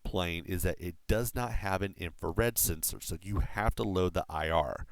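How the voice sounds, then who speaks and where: A man talks through a flight combat game for his stream, speaking from a home desk setup. His voice sits low and comes across smooth and resonant, with a dry, close sound. He rambles loosely, filling gaps with "uh" and "you know" and letting a swear slip out. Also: normal speed, dry recording. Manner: rambling, with frequent fillers and casual swearing. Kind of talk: casual gameplay explanation on a live stream. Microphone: desk microphone through a mixer.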